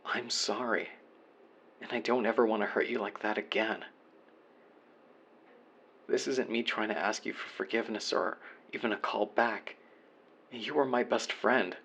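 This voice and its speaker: A man's voice: fairly low pitch, a bit bright, highs cut off. A man playing a remorseful young man leaving an apologetic voicemail to his former best friend, earnest and confessional in an audio roleplay monologue. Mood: pleading, apologetic.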